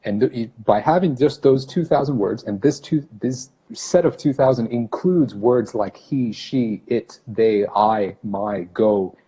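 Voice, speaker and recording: A man from Brunei in his thirties talks loosely to his livestream viewers from a small room, filmed on a phone held at arm's length. His tone is warm and mellow, a little husky, and he often stops to correct himself. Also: mid-range voice, measured pace, hardly any pauses, poor audio. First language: not English. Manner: chatty, self-correcting, greets viewers by name. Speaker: English teacher hosting a livestream. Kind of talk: casual livestream talk to viewers. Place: small office room indoors. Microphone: phone camera at arm's length.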